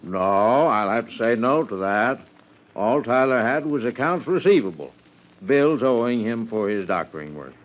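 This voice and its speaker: Actor as folksy country sheriff, muffled sound. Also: male voice, medium pitch, expressive, normal speed, lightly acted. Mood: earnest. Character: folksy, plain-spoken male small-town amateur sheriff. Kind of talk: 1950s radio drama acting. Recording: muffled 1950s radio recording.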